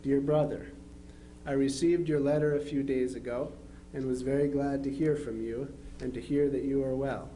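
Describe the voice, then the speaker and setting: A man's voice: medium pitch, steady tone, moderate pace, mellow, a little hiss or hum, natural voice, rough recording. A man playing a dutiful young Civil War soldier, reading his letters home aloud. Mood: earnest. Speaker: amateur reader in a historical society dramatization.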